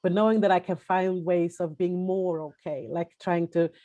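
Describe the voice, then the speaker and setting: A woman with a Swedish accent speaks over a video call in a low, mellow voice. She talks haltingly, with fillers and restarts as she hunts for English words. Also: steady tone, muffled recording. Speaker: webinar panelist. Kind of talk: personal testimony on a webinar.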